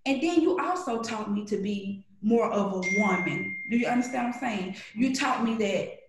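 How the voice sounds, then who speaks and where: A middle-aged woman from Alabama with a Southern accent, telling stories in an animated, playful way as a guest on a podcast. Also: mid-range voice, normal speed, echoey room, noisy background. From Alabama, USA. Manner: animated storytelling, playful, acts out voices in quotes. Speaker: guest, grocery shopper. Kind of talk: casual podcast conversation.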